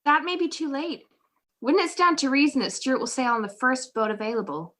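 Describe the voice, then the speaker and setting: A spirited detective's wife , played by a woman, in a vintage radio mystery. Her voice is high and bright, earnest and insistent, pressing each point in his defence. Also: animated, a little fast, exaggerated voice. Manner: earnest, insistent, indignant pleading. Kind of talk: 1940s detective radio play acting. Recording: video call recording.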